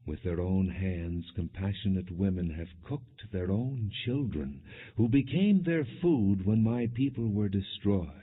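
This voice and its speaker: A man narrating an audiobook, reading scripture in a solemn, measured and dramatic way. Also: low-pitched, breathy edge. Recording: tinny phone-like narrow-band sound.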